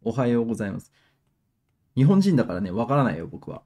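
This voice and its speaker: Japanese man, mellow voice, halting english with restarts, young adult, live lesson at a desk webcam. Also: mid-range voice, big pitch swings. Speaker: Japanese teacher. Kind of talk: live-streamed language lesson. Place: small room indoors.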